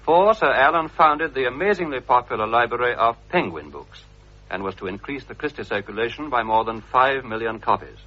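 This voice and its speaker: Lively bright-voiced male documentary narrator. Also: mid-range voice. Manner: measured, formal storytelling. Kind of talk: scripted narration. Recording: dull old radio broadcast recording.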